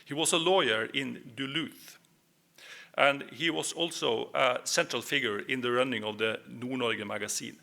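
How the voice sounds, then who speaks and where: A man with a Norwegian accent giving a history presentation, speaking in measured phrases with hesitant fillers. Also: medium pitch, gravelly, pushed and bright. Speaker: lecturer.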